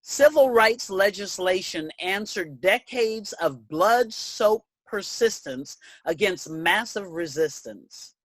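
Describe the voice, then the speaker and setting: A woman reading scripted narration in a reader's theater, in a measured and articulate way. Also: mid-range voice, lively intonation, no echo.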